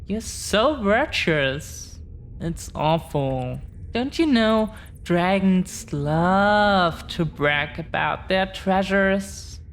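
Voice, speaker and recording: A woman playing an affectionate, proud dragon-blooded girlfriend in an intimate audio roleplay, talking in a teasing way with long pauses. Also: medium pitch, lively intonation, soft background music. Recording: clear background noise.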